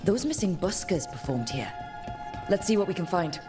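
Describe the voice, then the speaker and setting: A woman's voice: fairly low pitch, big pitch swings, moderate pace, music in the background. A woman voicing a cool, observant detective in a fantasy video game, thinking aloud in a terse, matter-of-fact way. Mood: focused, deducing.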